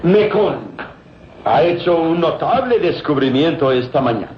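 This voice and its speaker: An actor playing a cold, scheming villain with a calculating manner and a Mexican accent in a vintage radio serial. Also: male voice, on the high side, big pitch swings, unhurried. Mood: smooth, feigned goodwill. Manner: menacing, calculating. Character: scheming, cold male villain plotting deadly traps. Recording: muffled, noisy old radio recording.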